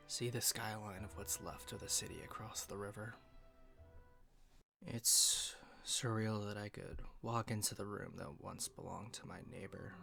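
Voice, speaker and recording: A man playing a weary young survivor narrating a reflective audio log, slow and halting, trailing into breathy murmurs. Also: fairly low pitch. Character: weary young male survivor recording a log in an abandoned city. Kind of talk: dramatic first-person audio log narration.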